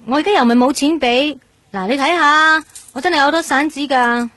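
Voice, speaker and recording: A woman's voice: sits high, brisk, a bit bright, dry recording. An actress playing an earnest, flustered young woman in a radio drama, speaking Hong Kong Cantonese in a polite, insistent, talkative way.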